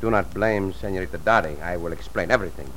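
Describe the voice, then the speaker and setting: An actor playing a calm, confident adventurer with courtly, formal speech in an old-time radio western. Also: male voice, deep voice, quick pace. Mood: courteous. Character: gallant, calm, confident Mexican adventurer hero. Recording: slightly noisy vintage radio recording.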